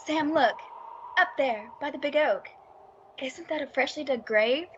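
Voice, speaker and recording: A woman acting as an anxious townswoman in a radio play. Her voice is high, over faint noise on a dull recording. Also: a little slow, a bit bright. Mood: uneasy. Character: anxious townswoman on a night walk. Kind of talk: old-time radio drama acting. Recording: dull lo-fi audio, background ambience noise.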